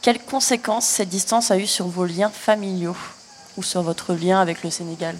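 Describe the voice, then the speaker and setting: A woman's voice: lowish voice, steady tone, moderate pace, a little hiss or hum. A woman interviewing guests, asking questions and responding encouragingly.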